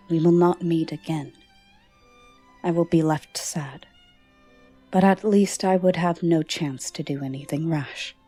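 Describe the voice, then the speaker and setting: Woman playing a slow, breathy gothic seductress. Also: lowish voice. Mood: solemn, confiding. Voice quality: soft, breathy, often whispered. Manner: slow, formal, teasing endearments. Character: soft-spoken, alluring, afflicted gothic woman, tender yet guarded. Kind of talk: ASMR roleplay acting.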